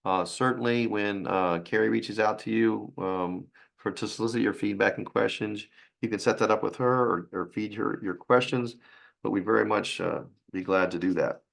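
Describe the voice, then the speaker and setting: A man with a raspy, hoarse voice from a sinus infection, presenting a financial webinar in a halting way. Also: fairly low pitch, fairly bright tone. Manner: halting, with restarts and fillers.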